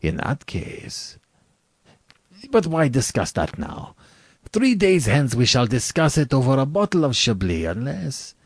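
A man's voice: mid-range voice, wildly swooping pitch, a little slow, slightly rough, no room sound, clean studio sound, pitched above the performer's own voice, heavily acted. A man playing a suave, condescending aristocratic general, wildly swooping. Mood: smoothly assuring. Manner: courtly, condescending, leisurely and theatrical. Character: suave aristocratic Cossack general, cruel hunter, falsely courteous. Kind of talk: dramatic storybook reading.

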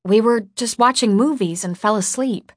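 Woman as an earnest, high-strung teenage girl. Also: normal speed, no echo, clean studio sound, close to an everyday voice. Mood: sheepish, defensive. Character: startled, apologetic teenage girl, earnest and defensive. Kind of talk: audiobook character dialogue.

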